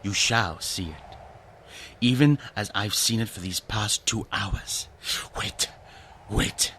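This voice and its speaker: A man's voice: fairly low pitch, slow pace, bright, forceful tone, slight background noise. An actor playing a morbid, brooding nobleman sinking into madness in a gothic radio play, intense and ominous. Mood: feverish, ominous.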